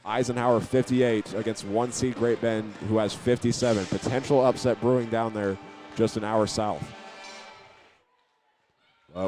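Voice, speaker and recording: A man doing live play-by-play commentary of a high school basketball game as the announcer. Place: indoor high school gymnasium. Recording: noisy gym ambience behind.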